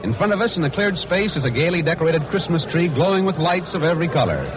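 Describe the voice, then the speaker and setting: Lively American radio reporter, noisy old broadcast. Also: male voice, a little high, fast talker. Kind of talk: 1940s on-location radio commentary. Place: aircraft carrier hangar deck.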